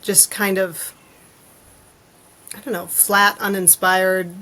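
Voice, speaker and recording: A woman's voice: mid-range voice, little pitch movement, slow pace, pushed and bright. A woman chatting casually as a podcast guest, speaking in a tentative, understated manner. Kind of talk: casual chat.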